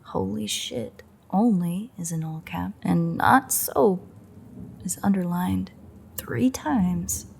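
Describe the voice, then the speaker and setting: Woman acting a slow, breathy, sardonic vampire. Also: lowish voice, slight background noise. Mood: dry reading aloud. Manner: wry, teasing, half-whispered, unhurried. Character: sardonic female vampire, threatening old enemy, secretly fond. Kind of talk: intimate audio roleplay acting.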